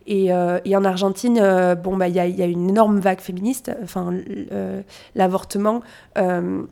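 This is a woman in her thirties from France, answering questions at an easy, halting pace. She fills her pauses and starts her sentences over. Her voice sits in a middle register. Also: mid-range voice, flat delivery, vocal fry, mellow. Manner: hesitant, many fillers and restarts. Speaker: interview guest, doula. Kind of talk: casual interview conversation. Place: small family café. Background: family chatter in the café.

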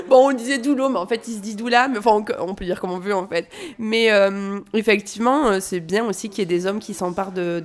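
A woman in her thirties from France, a doula, talking casually in an interview, hesitant with many fillers and restarts, recorded in a small family café. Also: fairly high pitch. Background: family chatter in the café.

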